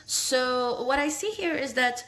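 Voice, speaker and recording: A woman's voice: high-pitched, measured pace, fairly bright tone, low-quality recording. A woman from Brazil with a Brazilian Portuguese accent, a tarot reader talking casually to her viewers and often asking "okay?", recorded on a phone indoors. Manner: friendly, tags sentences with "okay?", laughs between phrases. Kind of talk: casual talk to camera.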